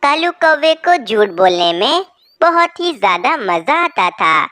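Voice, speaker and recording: A woman tells a children's story as its narrator. Her voice is on the high side and very animated in pitch. The recording is close and dry. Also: unhurried, no room sound.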